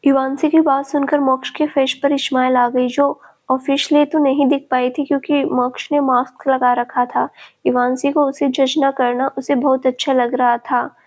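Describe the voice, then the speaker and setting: A woman narrating a scripted family story at a steady, even reading pace. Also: high-pitched, moderate pace.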